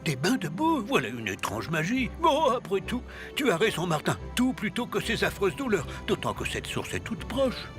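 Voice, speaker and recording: Cartoon old magician priest: kindly grumbler, theatrical, very animated pitch, music underneath. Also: male voice, normal speed, few pauses. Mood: welcoming, curious. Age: older. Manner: theatrical, grandly formal, complaining. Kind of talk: French cartoon voice acting. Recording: music behind the voice.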